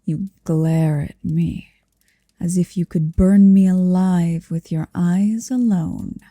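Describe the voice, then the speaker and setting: Casting: woman to play an ancient possessive she-dragon for ASMR roleplay, very dark soft tone, extremely slow delivery, tender but commanding, often whispering. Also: fairly low pitch, fairly even intonation, dry recording, studio quality. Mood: intimate, intense. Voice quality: soft, breathy. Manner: slow, deliberate, hushed, often slipping into whisper. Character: possessive centuries-old female dragon in human form, tender, commanding. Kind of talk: ASMR roleplay, intimate second-person monologue.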